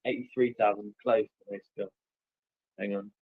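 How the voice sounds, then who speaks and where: A man's voice: on the low side, level tone, normal speed, narrow, tinny audio. A man hosting a stream, chatting casually and loosely commentating on a football match, with plenty of fillers. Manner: laid-back, rambling, with many fillers.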